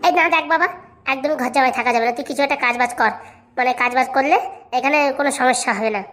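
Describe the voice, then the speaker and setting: Woman voicing a bossy, high-pitched mother. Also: fast talker, fairly bright tone. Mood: scolding. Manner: scolding, emphatic, colloquial. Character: bossy, suspicious mother of a grown son. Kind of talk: comic cartoon dialogue.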